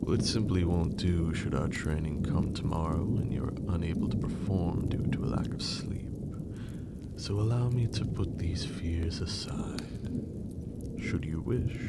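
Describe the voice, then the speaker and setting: A man playing a teasing, possessive vampire master in a breathy, formal, seductive ASMR roleplay. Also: heavily acted. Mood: coaxing. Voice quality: breathy, low, creaky. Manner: slow, deliberate, formal, seductive.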